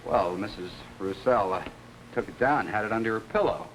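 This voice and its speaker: A man acting as a courteous, questioning detective in a 1950s television mystery, with small hesitant pauses. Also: on the low side, fairly bright tone, natural voice. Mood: puzzled, probing. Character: Ellery Queen, probing, courteous male detective. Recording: noisy old 1950s television soundtrack.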